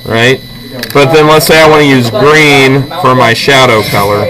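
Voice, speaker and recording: A man's voice: medium pitch, moderate pace. A man teaching drawing hands-on, explaining things patiently and informally. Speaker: art teacher. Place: busy classroom. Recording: noisy room full of chatter. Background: loud crowd chatter.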